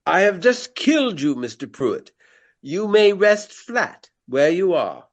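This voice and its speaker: A theatrical gentleman of the Regency stage in a radio drama. He declaims at a very leisurely pace in a fairly high male voice, courtly and earnest, savouring florid phrases with hammy grandeur. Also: very animated, slow pace, dull audio, full character voice. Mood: courtly, polite. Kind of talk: radio play acting, declaimed Shakespeare.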